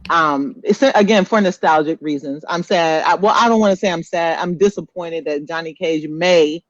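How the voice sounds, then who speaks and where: A man's voice: on the high side, moderate pace, no echo. A man co-hosting a podcast in casual, blunt, informal talk with some swearing, on a dull lo-fi recording. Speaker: podcast co-host. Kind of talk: casual live podcast chat. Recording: dry, dull lo-fi podcast audio.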